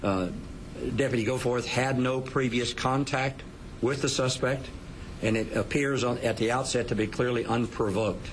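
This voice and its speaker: Measured male official, medium pitch. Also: fairly even intonation. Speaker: law enforcement official. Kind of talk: formal statement to press. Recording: faint background noise.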